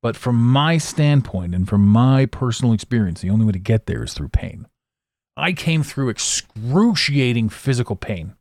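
A man's voice: on the low side, no room sound. Intense man with a dry close sound. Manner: intense, repeats words, swings between loud and quiet. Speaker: podcast host. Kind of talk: solo podcast monologue.

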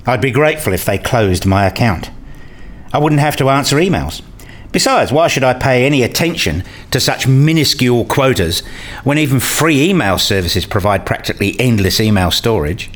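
A man's voice: medium pitch, expressive, moderate pace, natural voice. A man acting a frustrated, restless academic who narrates his dreary days in a radio play, wry and grumbling. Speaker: narrator and lead character. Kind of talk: first-person radio play narration and dialogue.

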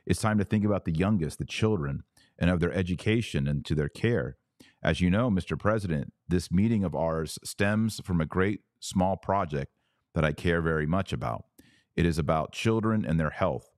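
A man's voice: low voice, moderate pace, dry recording, studio quality. A man hosting a religious commentary podcast, reading text aloud and remarking on it in an emphatic, questioning manner.

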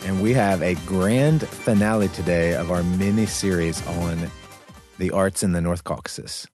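Low-voiced man co-hosting a podcast. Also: moderate pace, over music. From United States. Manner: eager, restarts his sentences often. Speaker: podcast co-host. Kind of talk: casual podcast chat.

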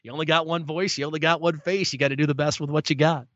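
Self-deprecating young broadcaster, dull dry audio. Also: male voice, on the high side, steady tone, fast talker, fairly bright tone, no echo. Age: young adult. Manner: self-deprecating, good-humored, rambling with restarts. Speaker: sports play-by-play broadcaster. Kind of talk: casual interview answers. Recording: dull lo-fi sound.

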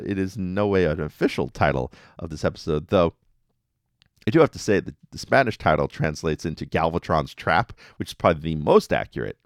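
Male podcast host, low register, animated and conversational, casual fan commentary, recorded dry. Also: no room sound.

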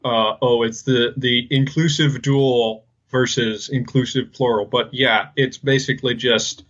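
A man hosting a casual podcast, speaking hesitantly and haltingly as he thinks aloud. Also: medium pitch, fairly even intonation, fairly bright tone, lo-fi sound. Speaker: podcast host.

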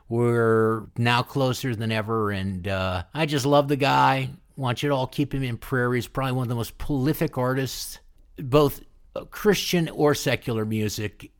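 A man hosting a music show in a casual, conversational way. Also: medium pitch. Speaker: radio show host.

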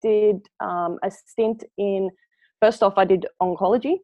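A woman's voice: mid-range voice, steady tone, unhurried, dry recording, phone-quality sound. A Swedish woman in her thirties, a nurse, with a Swedish accent blended with Australian. Her first language is Swedish, and she chats casually as an interview guest, laughing often and restarting her sentences. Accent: Swedish mixed with Australian. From Sweden.

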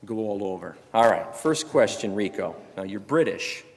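A man, a pastor, introducing a guest speaker and interviewing him at a conference, speaking earnestly with pauses and fillers. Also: lowish voice, lively intonation. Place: large church auditorium. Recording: long hall reverb.

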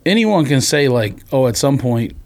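A man's voice: medium pitch, sing-song, moderate pace. A man co-hosting a podcast in casual debate, contrarian and insistent, challenging the others' claims. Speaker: podcast co-host. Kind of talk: casual sneaker-culture debate.

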